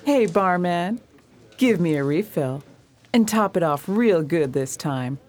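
A woman acting a brash, animated party girl. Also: on the low side. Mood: boisterous. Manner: sassy, slangy, drawn-out teasing. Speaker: actor in a radio play. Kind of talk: radio theatre acting.

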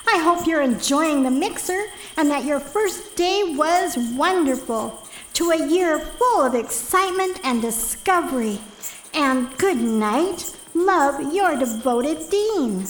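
A woman playing a devoted college dean who gives formal, measured, encouraging announcements in an audio drama. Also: sits high, lively intonation, a little hiss or hum, rough recording. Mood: formal, welcoming. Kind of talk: scripted audio drama acting.

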